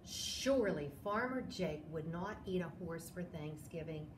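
A woman in her sixties hosting a children's storytime, reading a picture book expressively with drawn-out dramatic phrases. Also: on the low side, lively intonation. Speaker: library storytime host. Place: small indoor room, seated. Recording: roomy echo, clear background noise.

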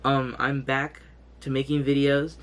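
A man's voice: medium pitch, completely flat delivery, unhurried. A young man with a congested, stuffy nose, giving hyped, slangy gameplay commentary indoors. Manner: hyped, slangy, loud exclamations. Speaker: gaming youtuber. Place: indoor room, green screen. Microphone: headset, webcam framing.

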